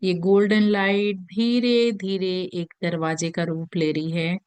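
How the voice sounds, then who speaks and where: A woman with an Indian accent leads a guided meditation in a gentle, soothing manner with long pauses. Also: mid-range voice, fairly even intonation, smooth, no echo. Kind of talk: guided meditation in Hindi mixed with English.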